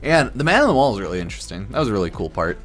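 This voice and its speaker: A slightly drunk man giving casual, sarcastic game commentary on a stream, blunt and quick to swear. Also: on the low side, very animated, a bit bright, soft background music. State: a bit drunk.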